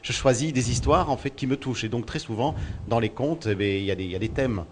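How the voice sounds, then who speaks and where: A man's voice: mid-range voice, lively intonation, quick pace, a little hiss or hum. Belgian-born male storyteller, thoughtful. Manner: thoughtful, repeats words while searching. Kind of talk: interview answers. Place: outdoors at a festival.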